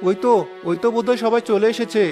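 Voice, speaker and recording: A man's voice: high voice, steady tone, fast talker, darkish tone, faint music behind, close to an everyday voice. A man voicing a kind, practical young village headman in a cartoon, earnest and encouraging. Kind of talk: Bengali cartoon voice acting.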